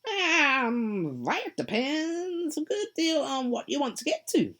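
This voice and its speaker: A woman voicing a mischievous grinning male cat who teases in a high, drawn-out, sing-song way, with a breathy tone. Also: sing-song, fairly bright tone, full character voice. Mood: teasing.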